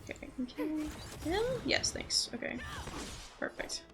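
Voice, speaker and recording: A woman's voice: high voice, moderate pace. A young woman chatting casually and rambling as she streams a game. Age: young adult. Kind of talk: casual livestream chat while gaming. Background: game music and voices.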